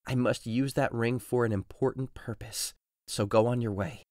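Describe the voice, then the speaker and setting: A man playing a desperate, grief-stricken young husband in a dramatic audio drama, intense and swinging between hushed menace and anguish. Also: mid-range voice, steady tone, normal speed, no echo, studio quality, lightly acted. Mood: commanding, menacing. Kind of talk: dramatic audio drama acting, modernized Shakespeare.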